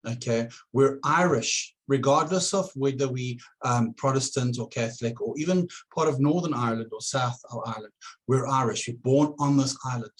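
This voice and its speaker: A man's voice: medium pitch, normal speed. A man with a South African accent, an election candidate addressing voters in an earnest campaign video, often repeating words as he starts a phrase.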